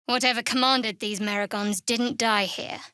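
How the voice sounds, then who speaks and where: Fantasy game role: young female cleric of a dark goddess, sardonic and guarded, higher register, measured, very dry close recording. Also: steady tone, clean tone, no room sound. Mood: cool, wry. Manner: cool, wry, measured delivery. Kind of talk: fantasy video game voice acting.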